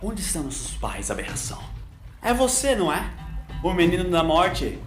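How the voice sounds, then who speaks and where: A Brazilian man voicing a vengeful young man shouting hateful accusations in an audio drama. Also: high-pitched, wildly swooping pitch, moderate pace, reverberant, faint music behind, pitched above the performer's own voice.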